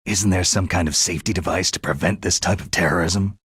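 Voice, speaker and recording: A man voicing a gruff, sardonic veteran special forces soldier in a video game, with a gravelly, husky voice and a terse, skeptical manner. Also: low-pitched, steady tone, a little fast, fairly bright tone, dry recording, studio quality, heavily acted. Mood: probing, serious.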